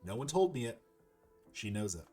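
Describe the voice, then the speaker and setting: A man's voice: medium pitch, sing-song, normal speed, mellow, slightly rough. A man giving casual, chatty let's play commentary, thinking aloud over game music. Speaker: gaming video host.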